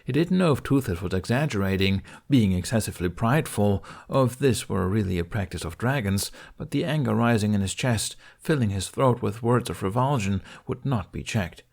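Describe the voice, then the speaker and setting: A man with a fairly low voice narrates an audiobook. He reads scripted fiction expressively, as a storyteller. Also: expressive, a little fast, creaky, few pauses, a little mellow.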